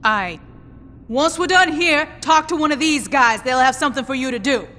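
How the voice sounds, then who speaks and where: A blunt, tough gang boss. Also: female voice, sits high, expressive, normal speed, sharp tone, big-room sound. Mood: commanding. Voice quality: blunt, sarcastic. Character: tough, foul-mouthed female gang boss. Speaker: gang leader player character. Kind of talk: video game cutscene acting.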